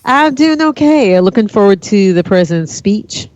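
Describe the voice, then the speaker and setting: A woman guesting on a talk radio show, trading quick, wisecracking retorts. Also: medium pitch, expressive, a little mellow, dry recording, lo-fi sound. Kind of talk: casual talk radio chat.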